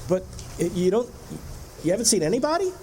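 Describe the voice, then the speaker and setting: A man's voice: sits high, sing-song, fairly quick, warm tone, a little hiss or hum. A man playing an uneasy, haunted driver in an old-time radio drama, telling his story in the first person with nervous hesitations. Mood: nervously probing. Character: uneasy male driver haunted by a recurring stranger. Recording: music under a live stage performance.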